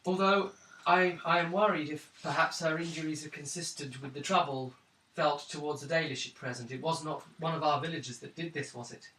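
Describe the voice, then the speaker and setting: A man's voice: a little high, fairly even intonation, brisk, sharp tone, a little hiss or hum. A kindly village priestess frets and fusses over an injured stranger, as a game master voices her. The slightly raised voice is heard from far off in an echoey room. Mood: worried. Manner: fussing, worried.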